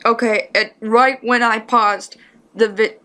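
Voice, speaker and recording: A woman chats casually, reacting off the cuff in a fairly high voice. She takes her time, with a slow, informal delivery. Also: steady tone, sharp tone, smooth. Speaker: commentator reacting to fan fiction reading.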